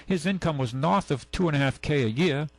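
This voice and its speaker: A man's voice: a little high, brisk, no echo. A man hosting a talk radio show, talking in a folksy, rambling, opinionated way. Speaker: radio show host and used car dealer. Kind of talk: casual radio chat. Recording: AM radio broadcast.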